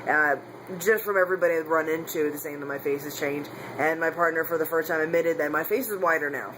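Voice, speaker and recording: A man speaks in a candid, confessional vlog, his voice sitting a little higher than most men's. He rambles, doubles back and corrects himself mid-sentence. A faint background hum sits under his voice. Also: fairly even intonation, fast talker. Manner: rambling, frequent restarts and self-corrections. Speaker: vlogger. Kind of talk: casual personal vlog.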